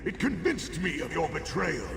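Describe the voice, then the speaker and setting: An actor playing an arrogant, vengeful undead villain in a fantasy video game, gravelly, imperious and theatrical. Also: male voice, a little high, big pitch swings, moderate pace. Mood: cold, imperious. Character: arrogant, vengeful undead male villain wielding a cursed blade. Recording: loud music behind the voice.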